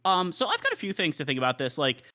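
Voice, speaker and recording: A man's voice: a little high, huge pitch swings, quick pace, sharp tone. A man giving casual, opinionated takes on games in an emphatic way as a show panelist. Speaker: panelist on a gaming talk show.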